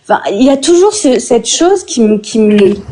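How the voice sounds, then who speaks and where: Earnest woman, slightly high, mellow. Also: animated. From France. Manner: earnest, halting, with fillers. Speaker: interviewee. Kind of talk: defensive personal account.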